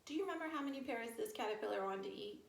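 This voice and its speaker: Higher-voiced woman in an echoey room. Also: expressive, very fast, vocal fry. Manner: encouraging, playful, addressing children directly. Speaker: children's yoga teacher and storyteller. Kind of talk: interactive storytime yoga lesson for young children. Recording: roomy echo with faint noise.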